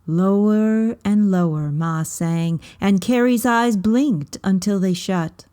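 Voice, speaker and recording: A woman narrates a storybook at an unhurried pace. Her voice sits at a middling pitch, and she sets out each phrase deliberately, pausing often between them. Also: mellow, clear, resonant tone. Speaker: volunteer coordinator reading a story aloud. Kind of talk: storybook reading, scripted narration.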